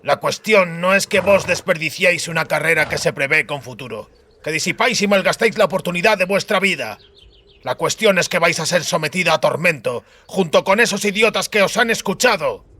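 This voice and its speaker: Guy doing a nasty drawling fantasy colonel, raised pitch, pompous and threatening, audiobook villain. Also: animated, brisk, pushed and bright, exaggerated voice. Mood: venomous threatening. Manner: drawling, menacing, archaic pompous speech. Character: venomous, threatening male colonel, cold commanding villain.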